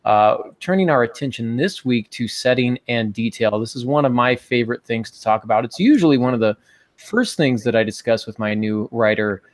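A man's voice: mid-range voice, brisk, no echo. A man in his forties hosting a podcast about writing, talking conversationally in an easygoing, lightly joking way. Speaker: podcast host and writing teacher. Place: small home office, indoors.

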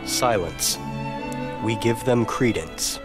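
An actor playing a solemn, stately game king who speaks in a formal, imperious, measured manner. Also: fairly low pitch. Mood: stern. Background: loud orchestral string music.

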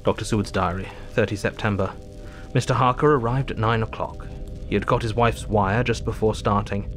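A thoughtful Victorian doctor, played by a male actor in an audio drama, narrating his diary in a fairly low voice. The delivery is formal and measured, with faint music underneath. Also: fairly even intonation, natural voice. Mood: impressed, musing.

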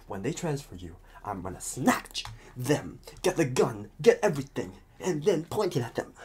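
A man playing a talkative, restless psychopath convict in an ASMR roleplay, protective and scheming, with halting, muttered asides. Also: medium pitch. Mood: scheming, distracted.

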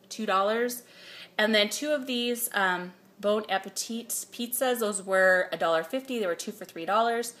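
A woman's voice: medium pitch, fairly bright tone, a little hiss or hum. A woman in her thirties chatting casually through a grocery haul, filming on her phone indoors at home and reading prices aloud between fillers. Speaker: vlogger. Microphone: phone camera held close.

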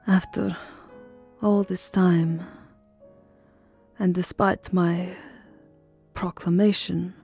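A woman playing a tender, lovesick vampire countess in an intimate ASMR roleplay, speaking softly and often dropping into a whisper, with formal, wistful endearments. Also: on the low side, vocal fry, slightly rough, exaggerated voice. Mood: tender, solemn. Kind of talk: ASMR roleplay, soft intimate romantic acting. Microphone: close microphone, dry. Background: music.

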